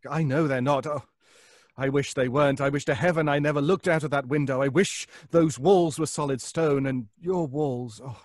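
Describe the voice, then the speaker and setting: A man's voice: a little high, fairly even intonation, darkish tone, dry recording, clean studio sound, lightly acted. An actor playing a haunted, sleepless, obsessive man, anxious and stammering, in old-time radio suspense style. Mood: brooding, intense. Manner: halting, stammering, pleading, melodramatically poetic.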